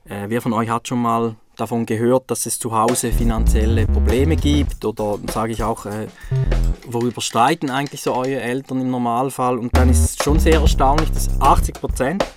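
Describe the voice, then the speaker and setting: A man's voice: mid-range voice, fairly even intonation, moderate pace. A man explaining as an educator in a plain, colloquial way, slipping in short bits of example dialogue. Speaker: financial literacy educator. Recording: loud music bed under voice. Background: music with drums and guitar.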